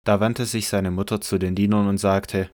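A man narrating a scripture audio drama in a measured, solemn manner from a script. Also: lowish voice, level tone, darkish tone, no echo.

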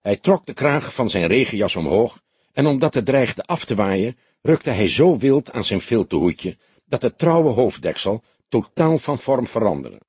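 A man reading literary narration for an audiobook in an expressive, scripted style. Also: medium pitch, a little slow, breathy edge, dry recording.

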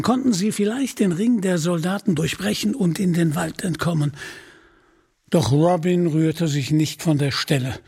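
Ein Mann erzählt als Sprecher eine dramatische Geschichte vom Manuskript. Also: male voice, fairly high pitch, darkish tone, breathy edge. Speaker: narrator. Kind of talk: dramatic scripted story narration.

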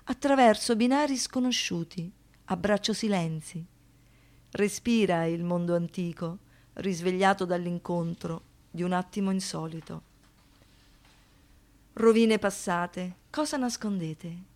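A woman acting an anguished, defiant survivor of violence in a theatrical radio monologue, with an intense delivery that moves between hushed and explosive. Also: fairly low pitch, a little slow. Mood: contemplative, poetic. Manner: intense, swinging from hushed to outbursts.

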